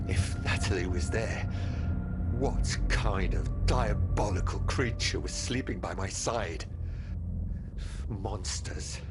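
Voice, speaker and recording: A horror game's tormented family man, deranged and haunted. He speaks slowly in a low voice, with dramatic weight, while loud music plays behind him. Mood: anguished, shaken. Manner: slow, haunted dramatic delivery. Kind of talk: horror game monologue.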